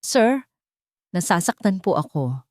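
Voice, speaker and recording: A woman's voice: fairly low pitch, very animated, unhurried, no room sound, studio quality. A woman voicing a humble, frightened young maid, speaking meekly and respectfully in an audio drama. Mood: pained, meek. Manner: meek, soft but firm, respectful.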